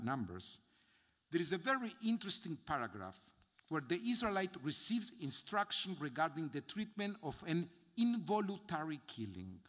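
An older man with an Argentine Spanish accent, a rabbi giving a solemn memorial sermon from notes, with measured phrasing and heavy pauses. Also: on the high side, a bit bright. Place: large synagogue sanctuary. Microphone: gooseneck podium mic. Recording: long hall reverb from the sanctuary.

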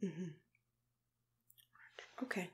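Young adult woman, ASMR dentist roleplay. Whispery and soft-spoken, gentle, reassuring, close-miked. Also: drawn-out words.

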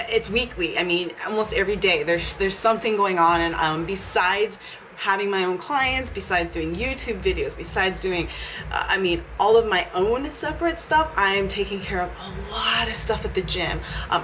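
A woman's voice: medium pitch, normal speed, a bit bright, a little hiss or hum, poor audio. A young woman chatting casually in a vlog from a small office, upbeat and often laughing as she talks. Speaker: fitness vlogger and gym owner. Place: small office with leather couch, indoors.

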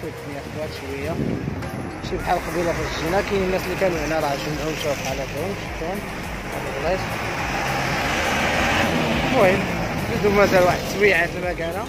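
A man's voice: a little high, over loud music, rough recording. A man in his thirties with a Moroccan Arabic accent, chatting casually while vlogging from an outdoor street market on a phone with an earphone mic. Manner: chatty, friendly, fast-talking. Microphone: wired earphone mic, phone at arm's length.

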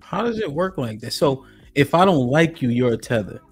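A man's voice: mid-range voice, very animated, moderate pace, breathy edge, soft background music. A man hosting a live stream talk show from home into a studio mic, asking questions and prompting his guest. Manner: questioning, short acknowledgements. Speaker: host. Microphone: studio mic on a boom.

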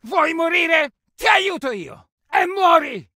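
An actor playing an aggressive infantryman in a war video game, shouting urgent, forceful battle lines in short exclamations. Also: very high voice, very animated, takes it slowly, no room sound, studio quality, full character voice. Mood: vicious, defiant.

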